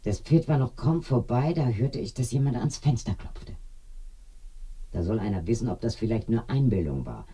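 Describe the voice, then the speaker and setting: Radio drama village widow: deeply mellow, breathy, eerie, confiding, with lively melodic swings. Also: female voice, normal speed, full character voice. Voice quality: dark, husky. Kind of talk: binaural radio drama acting.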